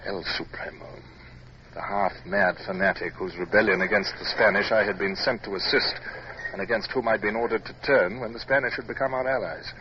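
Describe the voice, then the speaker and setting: Man as deep-voiced, dignified British naval captain. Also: fairly even intonation, brisk, lightly acted. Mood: grave narration. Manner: clipped, formal, reserved. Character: proud, dignified British naval captain, narrating his own adventures. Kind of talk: old radio drama acting and narration. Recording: muffled, noisy old radio recording.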